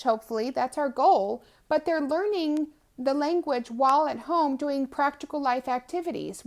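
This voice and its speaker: Woman, thirties, somewhat high pitch, conversational, explanatory, informal testimonial delivery. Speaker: homeschooling mother presenting a language program.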